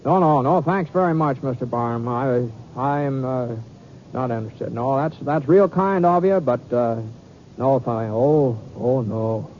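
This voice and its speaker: An actor playing a reluctant, bashful cowboy gunfighter who stammers and halts in a radio western. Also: male voice, medium pitch, lively intonation, noisy background, lightly acted. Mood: awkward, declining. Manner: halting, stammering, flustered. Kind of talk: old-time radio western acting with narration. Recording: tinny vintage radio recording with music.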